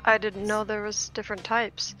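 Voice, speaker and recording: A woman's voice: medium pitch, flat delivery, normal speed, pushed and bright, soft background music, lightly acted, rough recording. A woman playing a guarded, bookish newly turned vampire in a tabletop roleplay, speaking tersely and plainly. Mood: matter-of-fact.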